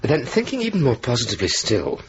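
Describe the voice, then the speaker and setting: An actor playing an amiable young RAF pilot in a radio drama, trading clipped, understated, teasing banter. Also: male voice, medium pitch, huge pitch swings, fast talker. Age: young adult. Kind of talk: 1980s radio drama dialogue. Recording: dull, hissy old radio recording.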